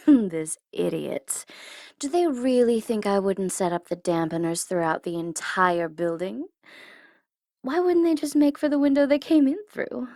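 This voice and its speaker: A woman's voice: medium pitch, measured pace, warm tone, no echo, clean studio sound, lightly acted. A woman playing a smug tsundere supervillain in an ASMR audio roleplay, taunting and teasing theatrically, at times in a whisper. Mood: exasperated aside. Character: smug tsundere female supervillain gloating over her captive hero.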